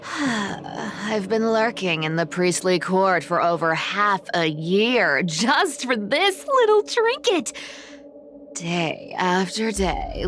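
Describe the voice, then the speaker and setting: A woman voicing a sly, gleeful young female thief in a video game, theatrical and smug, muttering and giggling to herself. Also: mid-range voice.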